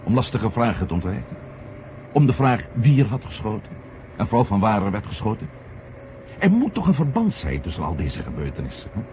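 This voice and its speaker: A man's voice: fairly low pitch, very animated, a little fast, a little mellow. A man acting a stubborn, suspicious journalist and former astronaut in a radio drama, insistent and wry. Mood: worried, earnest. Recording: muffled, noisy 1970 radio recording.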